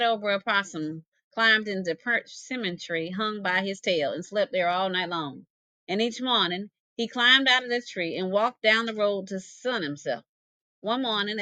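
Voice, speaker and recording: A woman's voice: medium pitch, pushed and bright, no echo. A woman narrating a folktale expressively, with folksy dialect phrasing and dramatic delivery. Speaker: storyteller, English teacher.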